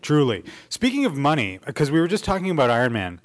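A man's voice: on the high side, wildly swooping pitch, quick pace. A man co-hosting a podcast, talking casually and conversationally with his partner. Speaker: podcast co-host. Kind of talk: casual conversation about films.

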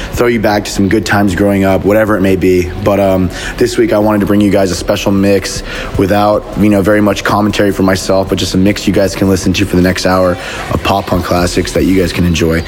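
A man's voice: low voice, flat delivery, fairly quick, slight background noise. A man hosting a radio show, introducing it casually and addressing his listeners directly. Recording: music playing behind the voice. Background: music.

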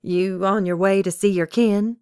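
A woman storyteller puts on a feisty old lady in her eighties. She is demanding and folksy, her voice rising high as she scolds, in a dry, close recording. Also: fairly even intonation, a little slow, darkish tone, smooth, no echo, close to an everyday voice. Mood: wary. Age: 80s. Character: feisty, indignant old Southern woman, nearly eighty-seven.

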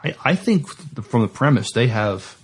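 A man co-hosting a podcast, chatting casually and reading entertainment news in a halting, stammering way. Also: mid-range voice, big pitch swings, husky. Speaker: podcast co-host.

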